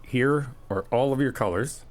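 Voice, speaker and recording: A man's voice: mid-range voice, normal speed. A man doing a friendly ASMR roleplay, chatty and teasing, reassuring the listener as he helps out. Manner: reassuring, helpful.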